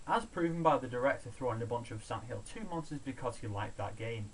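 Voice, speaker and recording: A man's voice: medium pitch, fast, rough recording. A man reading a scripted countdown review in a sardonic, opinionated, sweary manner. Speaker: video reviewer, host of a countdown show. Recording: steady background hiss, amateur home recording.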